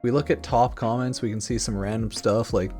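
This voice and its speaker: A man's voice: mid-range voice, fairly even intonation, brisk, darkish tone. A man giving casual, rambling commentary as a gaming YouTuber, informal and noncommittal, with some mild swearing. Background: music in the background.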